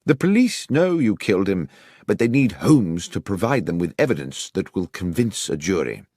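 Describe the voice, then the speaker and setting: A man's voice: low-pitched, very animated, moderate pace, darkish tone, no echo. A man voicing a composed impostor doctor in an audiobook, matter-of-fact and assured. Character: composed impostor posing as a respectable male doctor. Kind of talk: audiobook character acting.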